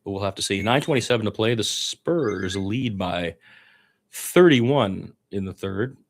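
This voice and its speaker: A man's voice: on the low side, lively intonation, unhurried. A man giving informal radio-style basketball play-by-play, with blunt, conversational and opinionated asides. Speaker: play-by-play sports commentator.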